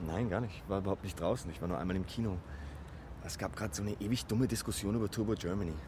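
Sullen male student, mid-twenties, male actor, rapid irritable mutter, radio drama, noisy scene behind. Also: deep voice, close to an everyday voice. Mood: sullen, irritated. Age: mid-20s. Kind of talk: naturalistic radio drama dialogue.

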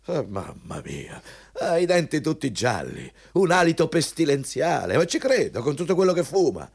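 An actor playing a domineering, hypocritical company boss in a theatrical Italian radio drama, pompous and mocking in manner. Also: male voice, a little high, sing-song, moderate pace, vocal fry, darkish tone, husky, heavily acted. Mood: disgusted, scolding. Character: domineering, hypocritical male company boss interviewing a job applicant.

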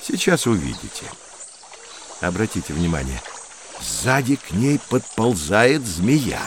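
A man's voice: on the low side, big pitch swings, unhurried, slight background noise. A man playing a friendly professor who explains things expressively to children in an educational audio drama. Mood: explaining. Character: friendly male professor guiding curious children on adventures.

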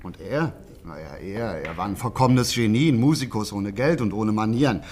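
A man's voice: on the low side, lively intonation, darkish tone, natural voice. Gossipy pub landlord played by a man, noisy background. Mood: gossipy, amused. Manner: folksy, chatty, halting gossip. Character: chatty, gossipy male small-town pub landlord. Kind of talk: German radio drama dialogue.

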